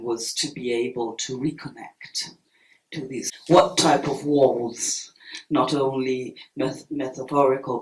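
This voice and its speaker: A man from Germany speaking at a festival panel, reflective and searching for his words. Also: mid-range voice. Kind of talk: public panel talk. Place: event hall. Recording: echoey hall.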